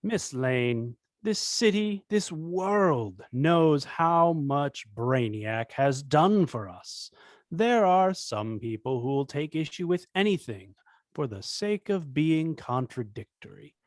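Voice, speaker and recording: A man playing a smooth-talking, self-important villain politician in a table read, pompous and deliberate. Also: fairly high pitch, sing-song, no room sound, clean studio sound. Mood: placating.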